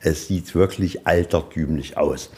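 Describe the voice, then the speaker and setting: Low, mellow man guiding a tour. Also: a little slow. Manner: plain-spoken, explanatory, poses questions and answers them. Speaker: museum tour guide. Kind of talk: informal guided-tour explanation. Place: indoors, museum anteroom.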